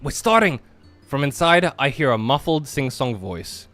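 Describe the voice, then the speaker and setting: A man chatting casually on a group game stream, bantering and quick to argue back. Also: medium pitch, sing-song, a bit bright, faint music behind.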